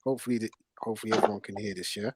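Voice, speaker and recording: A man's voice: fairly low pitch, steady tone, very fast, darkish tone, slightly rough, no echo. A man hosting casual football debate, emphatic and slangy, repeating himself for stress. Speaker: football podcast host.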